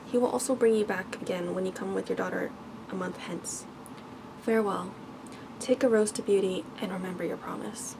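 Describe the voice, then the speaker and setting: A woman's voice: mid-range voice, steady tone, moderate pace, creaky, noisy background, natural voice. Woman reading as an angry, commanding storybook beast. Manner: stern, formal storybook speech.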